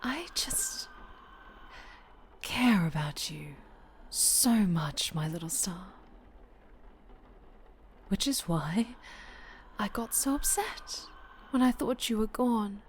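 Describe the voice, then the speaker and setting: A woman playing a possessive, obsessive winged dark-angel superhero, sinister yet doting, in an intimate, breathy ASMR roleplay that often slips toward whispering. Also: mid-range voice, expressive, slow pace, long pauses, slight background noise. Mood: obsessive, soothing.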